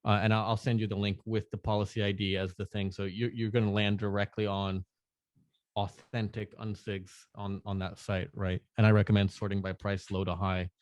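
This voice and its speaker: A man talking as a podcast guest in casual chat, relaxed, laughing often and restarting sentences. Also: on the low side, brisk, dry recording, highs cut off. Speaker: podcast guest, NFT artist.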